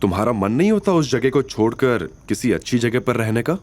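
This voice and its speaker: A man playing a kind young man in an audio drama, soft, earnest and reassuring. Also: medium pitch, sing-song, a little fast, close to an everyday voice. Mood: curious, concerned.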